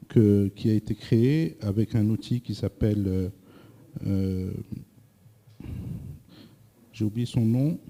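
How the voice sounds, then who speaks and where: A male presenter lecturing a live audience, hesitant, often repeating words and filling pauses, asking the room questions. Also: mid-range voice, dark tone. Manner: addresses the audience directly with questions. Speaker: workshop presenter.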